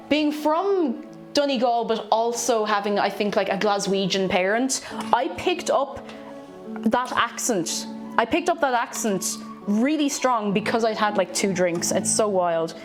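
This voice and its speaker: Chatty Irish woman streaming over music. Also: on the high side. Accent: Irish, from Tipperary with some Dublin. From Ireland. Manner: chatty, laughs often, greets chat with bursts of excitement. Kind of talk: casual chat while reading live chat and cooking. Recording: clear background stream music. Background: background music playing.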